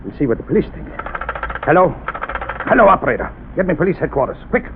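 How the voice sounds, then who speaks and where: A jittery, accusing lab chief. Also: male voice, on the high side, big pitch swings. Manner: nervous, accusing, hurried. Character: jittery, suspicious male laboratory chief. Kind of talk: 1930s radio drama acting. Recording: tinny old radio broadcast with faint hiss.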